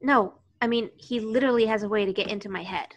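A woman's voice: mid-range voice, quick pace, natural voice. A woman reading the role of a guarded, weary young love interest at an amateur table read, understated and wry. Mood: regretful. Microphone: video call.

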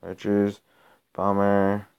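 A young man with a slightly low voice, raspy from a recent illness, talks over his phone gameplay in a loose, rambling way. He trips over his own sentences and grows exasperated when a match goes badly. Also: monotone, extremely slow, highs cut off. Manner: rambling, self-interrupting, gets exasperated during play. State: raspy from being sick. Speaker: gaming channel host. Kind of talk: casual gameplay commentary.

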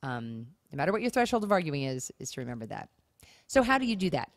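Low-voiced woman, earnest podcast co-host. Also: very animated, quick pace, dry recording. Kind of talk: conversational relationship advice.

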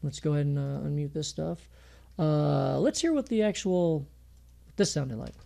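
Male producer, thin high voice, loose chatty tutorial delivery with lots of "uh". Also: expressive, moderate pace, creaky, mellow. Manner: casual, rambling, with many fillers and restarts. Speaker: music producer teaching mixing. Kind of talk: screen-recorded tutorial talk. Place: home project studio.

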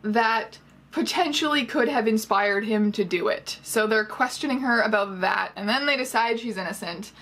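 A woman in her twenties giving sarcastic, animated commentary as a casual vlogger talking to camera. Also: on the high side, moderate pace. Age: 20s. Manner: sarcastic, animated storytelling with mocking quotes. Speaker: vlogger reviewing a book. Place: small bedroom, indoors.

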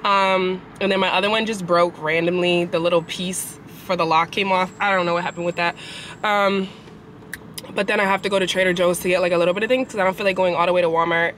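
A young woman vlogging from her car, chatty and animated, sounding nasal after a cold. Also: lowish voice, fast talker. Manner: chatty, animated, swears casually. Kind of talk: casual vlog chat. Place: parked car at a traffic light. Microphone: camera on the dashboard. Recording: car with street noise behind.

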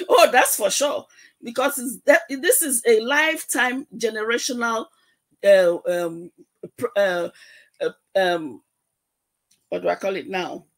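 A woman guest on a video call, earnestly urging listeners, repeating herself and pausing to search for words. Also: on the high side. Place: home room. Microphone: webcam on video call.

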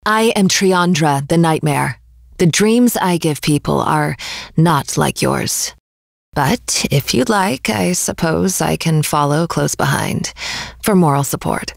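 Creaky, low, gloomy nightmare fairy. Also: female voice, slow pace, no echo, studio quality, exaggerated voice. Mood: doubtful. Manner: subdued, aloof, hesitant. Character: gloomy, reserved young nightmare fairy woman. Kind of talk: English fantasy game voice acting.

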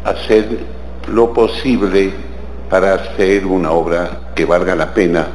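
An older man with a Colombian accent, a senator making courteous, deliberate formal remarks in a committee session. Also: deep voice, a little mellow, slight background noise. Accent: Colombian Spanish. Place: large legislative chamber. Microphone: desk gooseneck microphone. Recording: roomy chamber with faint ambience.

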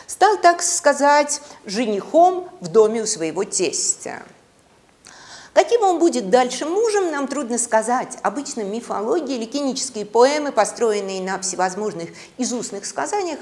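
A middle-aged woman giving a dramatic university lecture in a classroom, with theatrical, expressive storytelling and deliberate pauses. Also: on the high side. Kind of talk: dramatic lecture on literature and mythology. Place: classroom, indoors.

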